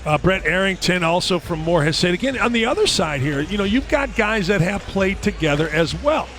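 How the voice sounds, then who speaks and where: A man's voice: high-pitched, animated, a little fast, fairly bright tone. A middle-aged man giving chatty, rambling color commentary on a basketball broadcast from a headset mic.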